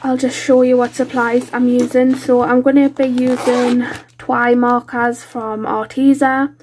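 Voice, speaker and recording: A woman's voice: fairly high pitch, level tone, unhurried, a little mellow, a little hiss or hum, rough recording. A British woman with a blocked-up nose from a cold, chatting casually and informally as she hosts a craft vlog. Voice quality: congested, nasal. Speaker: colouring channel host.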